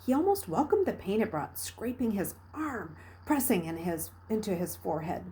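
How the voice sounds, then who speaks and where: Woman reading a story aloud, mellow voice. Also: mid-range voice, big pitch swings, normal speed, slight background noise. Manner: dramatic, with hushed passages and emphatic bursts. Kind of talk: expressive narration of a children's novel read aloud.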